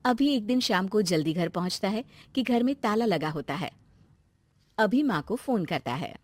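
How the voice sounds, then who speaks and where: A woman's voice: mid-range voice, lively intonation, normal speed, darkish tone, no echo. A woman narrating a scripted moral story as the storyteller.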